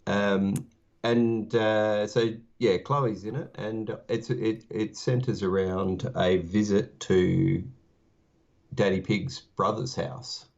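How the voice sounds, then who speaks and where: An Australian man co-hosts a podcast in a low voice with a slightly gravelly edge. He talks in a relaxed, halting way, full of ums and restarts. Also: muffled recording. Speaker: podcast co-host. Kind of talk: casual podcast chat.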